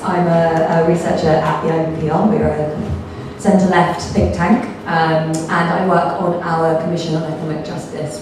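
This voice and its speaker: A woman researcher giving a talk at a panel event, her voice slightly croaky, explaining steadily with many ums and kind-ofs. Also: lowish voice. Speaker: think tank researcher, panel speaker. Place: event hall. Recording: echoey hall, from far off, noisy.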